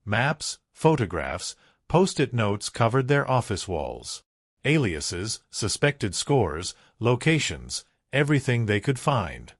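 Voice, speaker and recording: A man's voice: mid-range voice, dry recording, clean studio sound. A man narrating a scripted true-crime documentary, telling it dramatically in short, punchy phrases.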